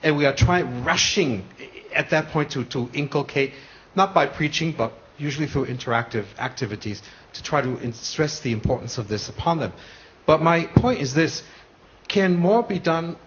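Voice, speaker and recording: A man's voice: on the high side, expressive, moderate pace, a bit bright. A man, a lecturer in the audience, earnestly putting a long question to the panel with many restarts. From United States. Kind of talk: question from the floor. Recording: muffled broadcast with faint hiss.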